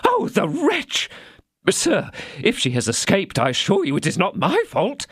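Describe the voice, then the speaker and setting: A man voicing a frightened, fawning tradesman who pleads in a shrill, flustered voice. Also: wildly swooping pitch, normal speed, breathy edge, no echo, studio quality, full character voice. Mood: pleading. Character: frightened fawning middle-aged male mercer.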